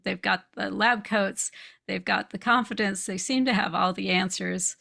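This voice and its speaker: A woman's voice: medium pitch, fairly even intonation, moderate pace, gravelly, bright, forceful tone. A woman hosting a conversational interview, affirming and often checking in with short tag questions. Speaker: podcast host.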